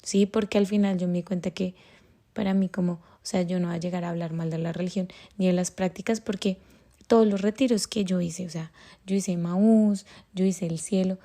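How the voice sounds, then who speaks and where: Colombian woman rambling thru her story, soft mellow voice, lots of fillers. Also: lowish voice, fairly even intonation, moderate pace. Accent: Colombian Spanish. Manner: rambling with many fillers and restarts. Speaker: podcast host. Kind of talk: casual personal storytelling.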